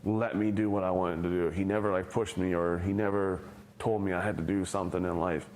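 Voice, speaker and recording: A man's voice: low voice, steady tone, a little fast, darkish tone, slight background noise. A man in his thirties with an American accent, chatting casually in an oral history interview and filling his speech with "like". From Bosnia, raised in the United States. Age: 30s. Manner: casual, heavy use of "like", trailing off.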